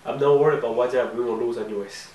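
A man's voice: medium pitch, fairly even intonation, racing, mellow, a little hiss or hum, natural voice. A young man playing a cool, defiant young mob heir, curt and dry, recorded in a living room. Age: young adult. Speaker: actor in an amateur mob drama. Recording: roomy room audio from a far camera.

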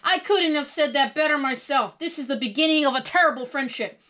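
A woman voicing a grumpy male trash-can monster from a children's storybook, gruff, curt and rude. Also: high-pitched, brisk, pushed and bright, pitched above the performer's own voice. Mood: grudging approval.

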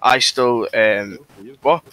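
A man's voice: medium pitch, takes it slowly, pushed and bright, low-quality recording. A British man playing a mouthy suspect under arrest in an online roleplay game, blunt, slangy and mocking. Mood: insistent. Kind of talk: casual online police roleplay improvisation.

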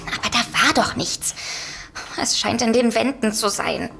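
A woman's voice: on the high side, unhurried, vocal fry, sharp tone, slight background noise, heavily acted. A woman playing a frightened, worried novelist sister in an audio drama. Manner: worried, hesitant. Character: anxious, frightened sister, a novelist under threat. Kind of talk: German audio drama acting.

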